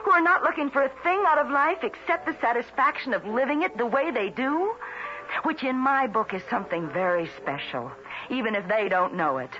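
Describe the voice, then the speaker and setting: Idealistic young reporter, forceful and earnest, dull old radio drama sound. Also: female voice, fairly high pitch, expressive, brisk. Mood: fervent, insistent. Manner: earnest, insistent. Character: passionate, idealistic, determined young woman newspaper reporter. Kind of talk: 1970s radio drama acting. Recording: dull vintage radio broadcast recording.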